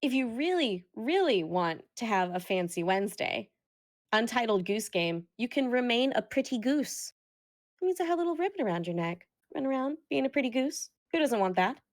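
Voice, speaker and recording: A woman's voice: on the high side, sing-song, normal speed. Playful woman hosting a charity stream. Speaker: charity marathon host. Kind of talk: casual live hosting, reading donation incentives.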